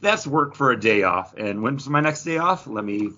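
A man's voice: mid-range voice, lively intonation, normal speed, bright, forceful tone, muffled recording, slight background noise. A man chatting casually and jokingly as a podcast host. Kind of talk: casual banter on a comics podcast.

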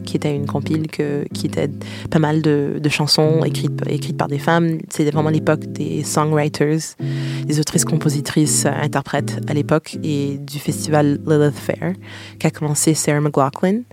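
Québécois woman in her 30s, gravelly grain, chatty with frequent laughs, music playing behind. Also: low-pitched, little pitch movement, normal speed. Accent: Québécois French. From Quebec, Canada. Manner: chatty, laughs often, hums tunes. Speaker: singer-songwriter interviewee. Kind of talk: casual recounting of memories.

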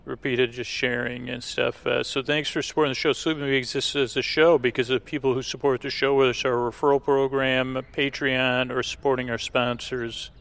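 A man hosting a podcast, casually thanking listeners in a rambling, halting way. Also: medium pitch, level tone, creaky, fairly bright tone. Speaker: podcast host.

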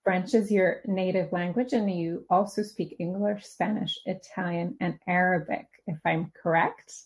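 A woman's voice: fairly low pitch, steady tone, moderate pace, poor audio. Friendly woman interviewing a guest. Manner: friendly, encouraging, with short backchannel replies. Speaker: podcast co-host, interviewer. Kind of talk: podcast interview.

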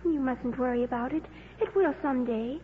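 Actress as a solemn high-voiced little schoolgirl. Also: fairly quick, close to an everyday voice. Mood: matter-of-fact. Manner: earnest, bookish, wistful. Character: solemn, precocious, lonely schoolgirl daughter. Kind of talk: 1950s radio drama acting. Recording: muffled noisy old radio broadcast.

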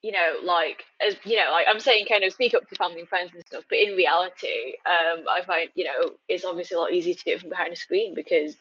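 A woman's voice: lowish voice, fast talker, few pauses, a bit bright, husky. A young British woman chatting casually on a podcast, wry and self-deprecating. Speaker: podcast guest. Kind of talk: casual chat. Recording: dull lo-fi recording.

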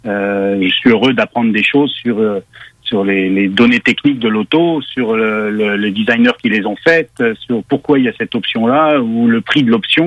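A man's voice: mid-range voice, no room sound, muffled recording. A man with a Parisian French accent, a car magazine editor explaining at length as a radio guest, with many hesitations.

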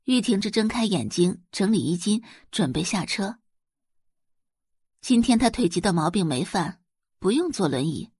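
Expressive, animated female narrator. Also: medium pitch, normal speed, slightly rough, no room sound, studio quality. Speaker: audiobook narrator. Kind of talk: expressive scripted narration of a web novel.

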